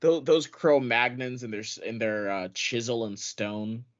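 A man's voice: medium pitch, unhurried. A man co-hosting a podcast in casual banter, sarcastic and swearing freely. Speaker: podcast co-host. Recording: dull lo-fi podcast audio.

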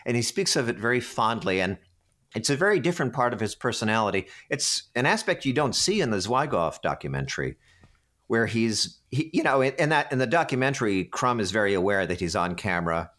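A man of medium pitch talks as an actor being interviewed. He is chatty and extroverted, telling his recollections in an animated way. His voice is loud and conversational. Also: fairly quick.

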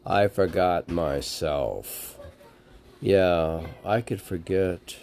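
A man's voice: low voice, extremely slow, vocal fry, a little mellow. A man giving casual, rambling commentary and poetry readings in a musing way, often asking and answering his own questions.

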